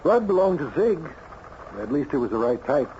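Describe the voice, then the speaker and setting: An actor playing an excitable, folksy small-town deputy in an old radio mystery. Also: male voice, on the high side, normal speed. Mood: grim, reporting. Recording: dull, faintly noisy old radio recording.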